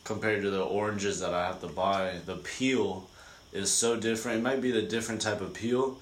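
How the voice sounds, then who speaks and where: Young man, very deep, halting talker, roomy echo. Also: fairly even intonation. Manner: halting, searching for words, casual swearing. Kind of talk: casual chat. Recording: echoey room with faint noise.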